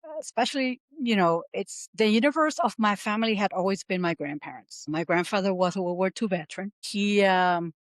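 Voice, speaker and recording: A woman's voice: mid-range voice, dry recording. Earnest woman telling her story. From Puerto Rico. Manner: earnest storytelling with frequent "um" pauses. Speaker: guest. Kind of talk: podcast interview.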